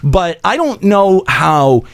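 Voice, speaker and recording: A man hosting a radio show with casual banter and rambling, halting phrasing. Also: high-pitched, big pitch swings, vocal fry. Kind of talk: casual banter between hosts.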